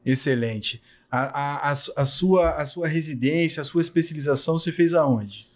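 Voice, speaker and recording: A man with a fairly high voice hosts a podcast interview. He asks polite, formal questions and stammers a little as he gets them started. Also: normal speed. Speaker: podcast host.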